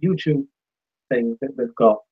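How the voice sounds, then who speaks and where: An English man hosting a casual live-stream interview, his voice wearing out and going hoarse. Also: mid-range voice, unhurried. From England. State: losing his voice. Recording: dull lo-fi sound.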